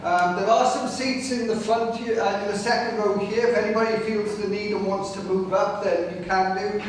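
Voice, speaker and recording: A loud man with a fairly high voice lectures an audience without a microphone, heard from far off. His voice rings around a big echoey hall with a long reverb tail. He talks in a chatty way and keeps checking in with the crowd. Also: steady tone, moderate pace, a little hiss or hum. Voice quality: naturally loud voice. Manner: chatty, addresses the audience directly with frequent "right?". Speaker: local history speaker. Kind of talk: public history talk to a live audience. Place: large hall with pillars, live audience. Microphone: no microphone, far room pickup.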